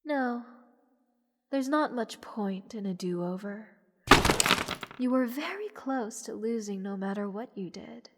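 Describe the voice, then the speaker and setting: A woman playing a haughty, bored goddess in a roleplay audio drama, condescending and teasing in a languid, breathy delivery. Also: a little high, animated, slow, darkish tone, slight background noise. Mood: smug, teasing. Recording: long reverb, music underneath. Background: orchestral music.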